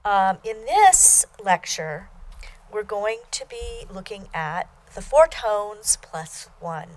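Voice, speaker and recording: An older woman with a fairly high voice delivers a recorded lecture from a desk in a small studio. She talks in a patient, measured way, pausing on ums and correcting herself as she goes. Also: rough recording. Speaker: Chinese language instructor. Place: small studio with plain backdrop.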